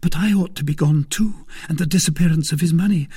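A man's voice: fairly high pitch, quick pace, mellow, slightly rough, no echo, clean studio sound, voice pushed up. A man voicing an anxious, earnest young married woman in a storybook reading, urgent and pleading, at times whispering. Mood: alarmed.

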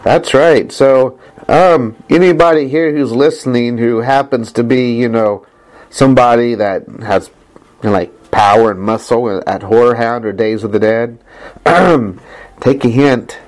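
A man's voice: unhurried, a little mellow, rough recording. A man hosting a podcast, chatting casually with enthusiasm and frequent laughs. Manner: enthusiastic, laughing often, with big pitch jumps. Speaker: podcast host.

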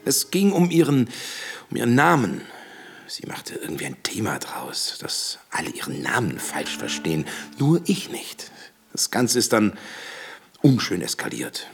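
Radio drama husband: courteous surface, hidden menace, aggrieved and hesitant, lively intonation, music behind. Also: male voice, fairly low pitch, a little slow, gravelly, husky, whispered, heavily acted. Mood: aggrieved, confiding. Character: smooth, self-justifying husband hiding menace behind politeness. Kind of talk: naturalistic radio drama acting.

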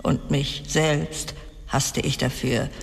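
Radio drama casting: embittered wealthy wife in middle age, low-energy slow confession, husky and breathy, reverberant space. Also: female voice, steady tone, exaggerated voice. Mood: bitter, confessing. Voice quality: husky, creaky. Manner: slow, halting, weighty delivery with breathy drops. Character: bitter, broken middle-aged wealthy wife confessing dark secrets. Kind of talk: dramatic radio play monologue. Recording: long reverberant hall sound.

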